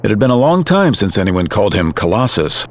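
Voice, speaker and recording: A man's voice: on the low side, very animated, brisk. A man reading scripted dramatic narration for an audio drama.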